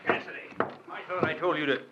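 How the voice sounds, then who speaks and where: A man's voice: on the high side, steady tone, brisk, dull audio, noisy background, lightly acted. A man playing a shifty stammering outlaw. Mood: evasive. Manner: stammering, wheedling, full of uh and why-why. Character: shifty male outlaw villain, nervous and evasive. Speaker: villain Rucker. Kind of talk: 1940s radio acting.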